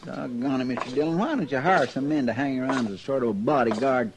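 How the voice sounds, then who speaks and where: An actor playing a folksy, earnest, loyal deputy with a rural Western American accent in an old radio drama. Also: male voice, mid-range voice, quick pace, lightly acted. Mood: worried, earnest. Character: folksy, excitable, loyal deputy sidekick of the marshal. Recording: noisy, crackly vintage radio recording.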